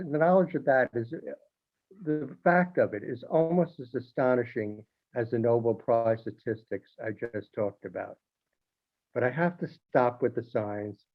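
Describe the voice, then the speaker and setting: A columnist man at a middle pitch, talking in a loose, chatty way that trips over its own words and adds dry jokes. The sound is narrow and thin, as if heard over a phone line. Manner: conversational, with restarts and wry asides. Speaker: newspaper columnist. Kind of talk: informal monologue.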